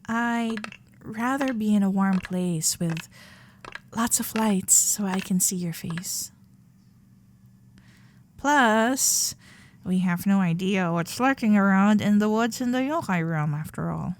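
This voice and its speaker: A woman voicing a sweet, playful fox-spirit girlfriend in an ASMR roleplay, coy and affectionate, with soft hesitations. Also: mid-range voice, animated, takes it slowly, a little hiss or hum. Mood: warm, chatty. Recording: festival crowd and fireworks sound effects.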